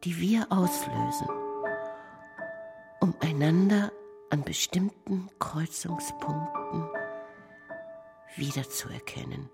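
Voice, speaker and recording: A woman performing a contemplative narrator in a poetic radio play, with a measured, solemn delivery. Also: deep voice, lively intonation, gravelly, heavily acted. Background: music.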